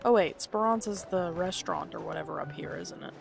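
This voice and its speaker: A woman's voice: lowish voice, brisk, gravelly, over music. A woman streaming a video game, making casual, playful, jokey asides. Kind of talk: casual livestream commentary.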